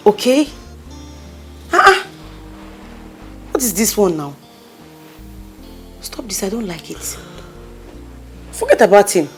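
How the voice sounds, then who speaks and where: A woman's voice: medium pitch, big pitch swings, slow, pauses often, faint music behind. A woman with a Nigerian accent, acting a loyal best friend who insistently talks her heartbroken friend up. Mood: scolding. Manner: emphatic, insistent, coaxing pep talk. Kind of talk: skit acting.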